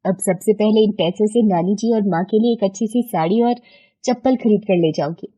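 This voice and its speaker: A woman voicing a devoted, grateful granddaughter with an earnest, expressive delivery in a moral story. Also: mid-range voice, normal speed, husky, dull audio, natural voice. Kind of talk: acted children's moral story.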